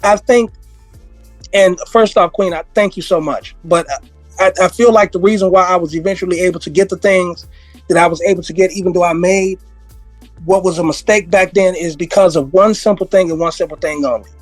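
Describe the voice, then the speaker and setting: A hoarse man, a music industry veteran, talking as a podcast guest, rambling through stories, repeating himself and laughing often. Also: high voice, steady tone, fairly quick, dry recording. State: hoarse, losing his voice. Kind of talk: casual interview conversation.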